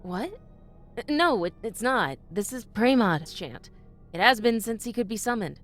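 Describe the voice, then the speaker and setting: An actress playing a determined, inquisitive devotee of a trickster god in a fantasy audio drama, speaking earnestly and directly. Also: female voice, fairly high pitch, expressive, a little slow. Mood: insistent. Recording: music bed under the voice.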